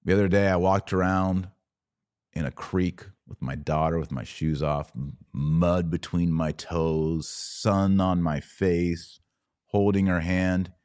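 A man's voice: low-pitched, steady tone, takes it slowly, darkish tone, dry recording, clean studio sound. A forty-five-year-old man hosting a casual livestream talk, answering viewer comments in a blunt, opinionated, mock-grumpy manner. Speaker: podcast host.

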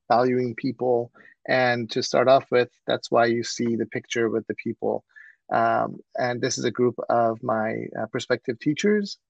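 Male presenter, Persian first language, gravelly edge, muffled lo-fi video call audio, earnest with many fillers. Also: mid-range voice, no room sound. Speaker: presenter, mathematics educator. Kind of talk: webinar presentation.

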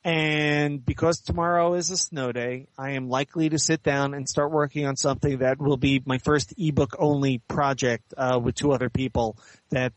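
A man giving measured, articulate, explanatory answers as an author and editor being interviewed in conversation. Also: medium pitch, fairly even intonation, no room sound, low-quality recording.

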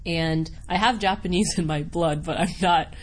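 A woman's voice: lowish voice, steady tone, normal speed. An American woman giving casual interview answers as a voice actor, chatty and rambling, with a giggly, self-deprecating way of talking. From United States (Wisconsin). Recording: noticeable background noise.

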